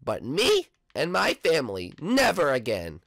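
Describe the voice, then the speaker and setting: A woman's voice: low-pitched, huge pitch swings, unhurried, creaky, fairly bright tone, no room sound, clean studio sound, heavily acted. A woman voicing a nervous, boastful young boy who stammers and hesitates, in a homemade cartoon play.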